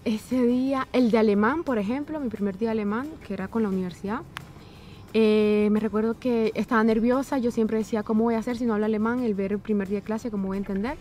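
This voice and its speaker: A woman's voice: fairly high pitch, fast. A woman in her twenties, a university student, chatting casually and expressively on a bench outdoors. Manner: chatty, expressive, gestures while talking. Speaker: vlogger, university student in Austria. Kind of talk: casual chat. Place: outdoor garden bench by a building.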